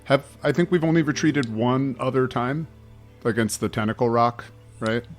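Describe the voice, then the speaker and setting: A man's voice: mid-range voice, fairly even intonation, moderate pace, soft background music. A man chatting casually as a podcast panelist, with a dry, wry manner. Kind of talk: casual chat.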